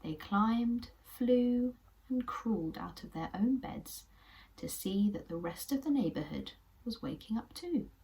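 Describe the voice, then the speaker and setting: A young English woman hosting a children's puppet show, telling a story in a gentle, encouraging way. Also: medium pitch, poor audio. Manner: gentle, encouraging, sometimes whispery narration.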